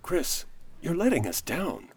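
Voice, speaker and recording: A man's voice: on the high side, big pitch swings, unhurried, slightly rough, no room sound, heavily acted. A man playing an earnest, persuasive, idealistic lawyer in a radio play. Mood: pleading. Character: earnest, idealistic male lawyer defending a friend.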